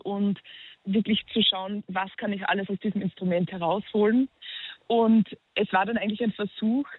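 A young woman from Upper Austria with an Upper Austrian accent, a musician talking in a phone interview, pausing thoughtfully to find her words. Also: mid-range voice, husky, no echo. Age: young adult. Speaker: musician guest. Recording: dry tinny phone line.

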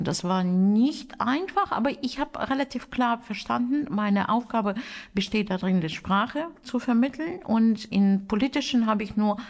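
A woman's voice: mid-range voice, creaky, a little mellow. A middle-aged woman from Russia with a Russian accent, a language teacher reflecting in an interview and sometimes searching for words.

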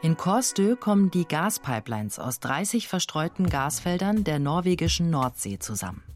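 A man giving calm, measured, scripted narration for a documentary report. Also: fairly high pitch, faint music behind.

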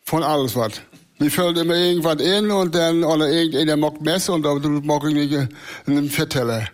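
A man whose first language is Low German, speaking with a northern German accent, his voice a little higher than most men's. He answers as a talk show guest in short, plain sentences, casual and unadorned. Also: a little fast. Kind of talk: casual chat.

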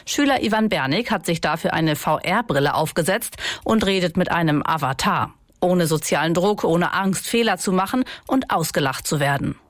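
Female news reporter, scripted narration, slightly low voice, very dry close recording. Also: lively intonation, moderate pace, no room sound.

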